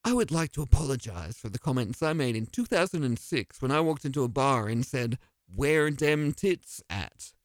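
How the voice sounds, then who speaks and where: Mock-earnest man with a lively voice. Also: mid-range voice, a little fast, few pauses. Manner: mock-earnest, rambling, self-questioning. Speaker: podcast host playing the DM. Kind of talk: scripted comedy monologue.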